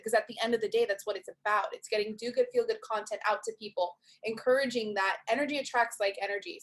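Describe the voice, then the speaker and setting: A woman in her twenties from Miami, upbeat and chatty with many fillers, presenting as program director on a video call. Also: on the high side. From Miami, USA. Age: 20s. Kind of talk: webinar presentation.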